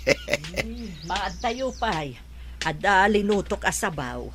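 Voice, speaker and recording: Caring mother, expressive pitch. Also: slow pace, a little hiss or hum. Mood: troubled. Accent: Ilocano. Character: caring, practical mother of a pregnant daughter. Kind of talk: Ilocano radio drama acting.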